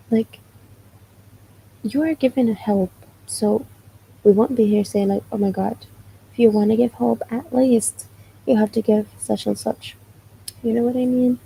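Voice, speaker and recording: Slow, gravelly-voiced Palestinian woman. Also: medium pitch, warm tone, slight background noise, low-quality recording. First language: Arabic. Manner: explanatory, often asks "you know what I mean?". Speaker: livestreamer. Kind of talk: casual live stream answering chat.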